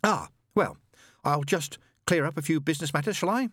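A man puts on a storybook angel who is prim and fussy. He is a nervous, courteous male bookseller whose raised voice hesitates and swoops in pitch, recorded dry and close. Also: very animated, moderate pace, fairly bright tone, no room sound. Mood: nervous, deferential. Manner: prim, hesitant, over-polite.

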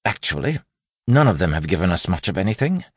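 A man's voice: deep voice, big pitch swings, fast talker, breathy edge, no room sound, voice pushed up, full character voice. A man voicing a put-upon constable officer with a curt, defensive manner, in an audiobook. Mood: curt, uneasy. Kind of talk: audiobook character acting.

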